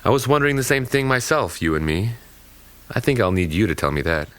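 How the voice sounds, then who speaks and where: Man playing a low-voiced, gallant young man. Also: big pitch swings, a little fast, smooth, no room sound. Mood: tender. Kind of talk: audio drama acting.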